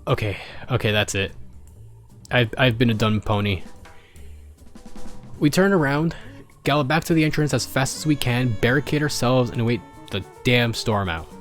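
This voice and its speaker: A man's voice: medium pitch, animated, normal speed, pauses often, soft background music, lightly acted. A man voicing an anxious young pony adventurer in a storybook reading, urgent and exclaiming. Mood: urgent, self-scolding.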